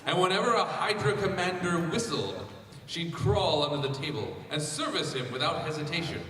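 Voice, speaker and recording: A man's voice: a little high, expressive, moderate pace, slight background noise. A man reading fan fiction aloud as host of a live panel, breaking into amused asides as he goes. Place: large convention panel room. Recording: echoey hall from far off, loud crowd. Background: loud audience crowd.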